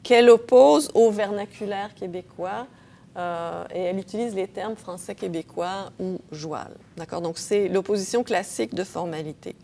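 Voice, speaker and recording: A woman's voice: mid-range voice, normal speed, vocal fry, dark tone. A woman professor giving an academic lecture, explaining patiently with frequent hesitations and checks of her audience. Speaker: linguistics professor.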